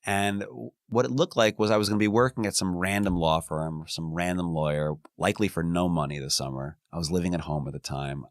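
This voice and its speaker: A man's voice: low-pitched, steady tone, a little fast, hardly any pauses, dry recording, studio quality. A man speaking as a lawyer guest in an interview, earnest and reflective, often restarting his phrases. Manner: earnest, frequent restarts and "you know". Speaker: employment and labor attorney, guest.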